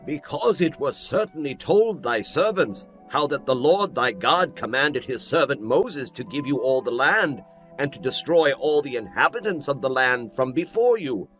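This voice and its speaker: Man doing an earnest ancient guy, lively up-and-down voice, music under. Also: mid-range voice, moderate pace, natural voice. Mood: fearful. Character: fearful Gibeonite men, then a scheming king.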